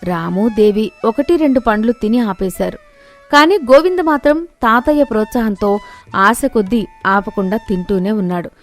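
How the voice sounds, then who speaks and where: A woman's voice: on the high side, lively intonation, moderate pace, faint music behind. A woman reading scripted narration for a moral story as its narrator.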